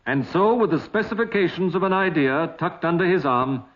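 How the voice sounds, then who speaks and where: A man's voice: sits high, expressive, normal speed. A British man reading formal, literary, dramatic narration in the style of a 1950s documentary. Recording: dull vintage film soundtrack with music.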